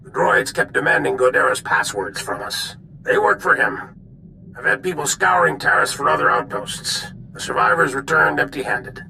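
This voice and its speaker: An actor playing a brisk Republic military commander in a video game, giving clipped, authoritative briefings. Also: male voice, on the high side, gravelly, pushed and bright, a little hiss or hum, full character voice, low-quality recording. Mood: businesslike urgency.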